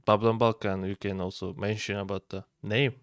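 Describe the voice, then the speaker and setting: A man's voice: lowish voice, flat delivery, brisk, no echo, studio quality. A man from Turkey, co-hosting a podcast in a playful, joking way with some stumbles. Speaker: podcast co-host. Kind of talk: casual podcast chat.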